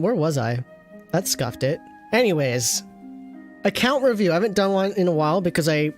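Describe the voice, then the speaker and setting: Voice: mid-range voice, a little mellow. Rambling, teasing game streamer over music. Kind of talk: casual livestream game commentary.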